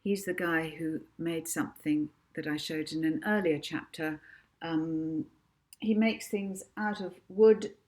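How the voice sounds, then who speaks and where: A woman's voice: low voice, steady tone, unhurried, darkish tone. A woman author narrating her own children's book, with casual asides to the listener.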